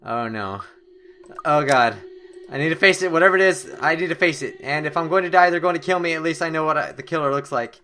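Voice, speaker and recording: A young man hosting a horror game playthrough, reading the story text aloud in an excitable, dramatic way and stumbling now and then. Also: a little high, fast talker. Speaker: gaming video host.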